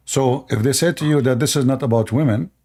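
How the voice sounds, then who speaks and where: A man whose first language is Arabic hosts a live call-in debate, repeating himself and speaking haltingly while he addresses his viewers. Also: medium pitch, dark tone. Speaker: show host.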